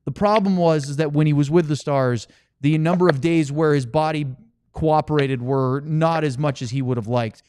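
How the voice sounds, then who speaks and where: Clear, slightly higher-voiced man hosting. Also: normal speed, darkish tone, dry recording. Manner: chatty, anecdotal. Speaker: podcast host and sports broadcaster. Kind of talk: casual sports podcast chat.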